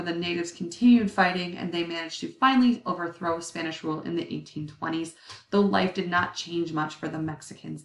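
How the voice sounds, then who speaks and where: A woman in her thirties giving a recorded history lecture over slides, chatty and often correcting herself with ums. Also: on the low side. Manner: conversational, self-correcting, many ums. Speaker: history teacher. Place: indoor room. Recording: roomy, slightly distant webcam audio.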